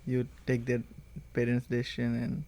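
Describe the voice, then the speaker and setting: A man's voice: medium pitch, completely flat delivery, moderate pace, crowd noise. Easygoing young man. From India. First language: Telugu. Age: young adult. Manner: easygoing, heavy use of "like" fillers. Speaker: guest, married student. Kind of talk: casual podcast chat.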